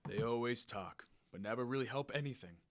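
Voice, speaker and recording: A man's voice: medium pitch, brisk, natural voice. A man playing a weary, caring middle-aged fisherman father, resigned and understated, in an audio drama. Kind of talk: fiction podcast audio drama acting.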